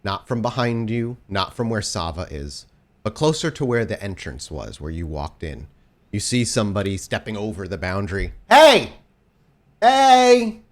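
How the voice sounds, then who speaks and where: A man acting as game master, improvising descriptive, halting narration for a tabletop roleplaying game. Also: low-pitched, expressive.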